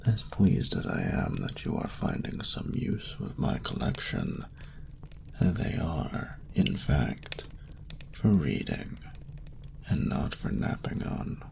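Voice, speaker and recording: A man voicing an ancient, courtly dragon ruler who speaks in a breathy, gravelly murmur with formal, doting phrasing, in an intimate romantic audio roleplay. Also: extremely low pitch, huge pitch swings, darkish tone, slight background noise, heavily acted. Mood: teasing, fond. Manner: slow, formal, archaic, tender phrasing.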